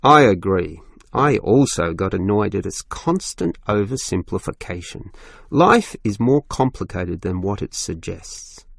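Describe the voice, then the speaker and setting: Male student played by a man, young, blunt complainer, animated intonation with vocal fry, scripted delivery. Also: low voice, measured pace, no echo, heavily acted. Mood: grumbling, blunt. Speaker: student in a listening test dialogue. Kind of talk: scripted exam dialogue acting.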